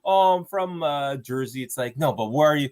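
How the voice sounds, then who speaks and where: A man's voice: fairly high pitch, expressive, normal speed, a little mellow, slight background noise. A man, a stand-up comedian, chatting casually as an interview guest, rambling with fillers and restarts and acting out quoted exchanges. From Canada.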